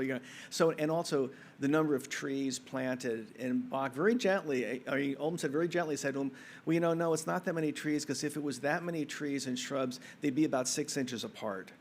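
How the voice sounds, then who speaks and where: A man's voice: fairly high pitch, slight background noise. A man, a symposium speaker, answering an audience question in a rambling way, often restarting and correcting himself.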